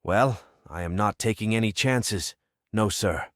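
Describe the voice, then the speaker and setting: A man playing a wary, rough-tongued bootlegger in an audio drama. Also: lowish voice, unhurried, no room sound, clean studio sound, close to an everyday voice.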